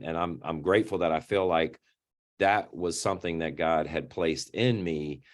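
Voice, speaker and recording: A middle-aged man with a deep voice, speaking as an interview guest in an earnest, reflective way and often repeating words as he starts a sentence. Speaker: interview guest, youth organization founder.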